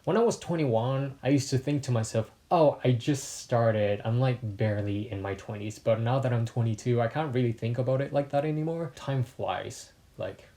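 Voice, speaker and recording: A man in his early twenties who grew up in Mexico with Spanish as his first language, chatting casually in a facts vlog with relaxed, self-deprecating asides. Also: mid-range voice, normal speed, hardly any pauses. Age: 20s. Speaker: YouTuber.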